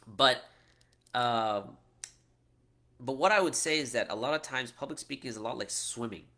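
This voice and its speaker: A man's voice: medium pitch, animated, moderate pace, sharp tone. A middle-aged man, an Islamic teacher, answering questions in a casual talk, often ending points with "right?". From United States. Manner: conversational, frequent "right?" tag questions and restarts.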